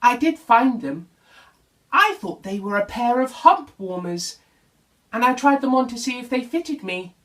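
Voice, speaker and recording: A man's voice: extremely high pitch, sing-song, a little slow, fairly bright tone, pitched above the performer's own voice, exaggerated voice. A man voicing a helpful, apologetic female camel in a children's bedtime story. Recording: voice distant from the mic.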